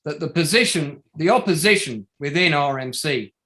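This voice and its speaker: Guy acting a sardonic inquiry lawyer, man's voice on the high side, really up and down, formal, keeps needling with questions, play read-through. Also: lively intonation, normal speed, pushed and bright. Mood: formal, accusing. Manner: pointed, formal, rhetorical tag questions. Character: probing, sardonic male barrister at a public inquiry. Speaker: actor in an online table read. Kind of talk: courtroom-style cross-examination in a play reading.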